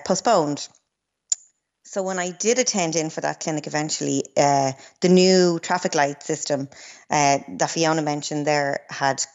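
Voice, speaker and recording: A low-voiced woman in her thirties recounts her experience with many pauses and ums. The sound is slightly dull and muffled, as if it had lost its top end. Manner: hesitant, lots of ums, storytelling. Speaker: new mother, guest. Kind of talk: radio interview.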